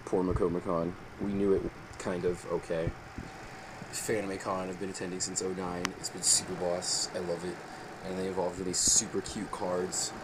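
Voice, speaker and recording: Brief: low-voiced guy, excitable rambling show-and-tell, phone mic, noisy room with AC hum. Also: moderate pace. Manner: excitable, rambling, jumps into sudden high squeals. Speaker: vlogger. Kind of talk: casual show-and-tell vlog.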